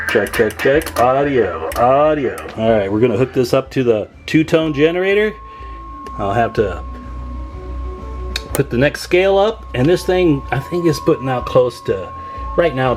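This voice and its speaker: An American man with a mid-range voice talks through a hands-on repair demo. He is informal and folksy, speaking straight to his viewers as he works. Faint music or tones sit behind his voice. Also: medium pitch. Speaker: radio repair hobbyist and video host. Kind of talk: casual hands-on demonstration vlog.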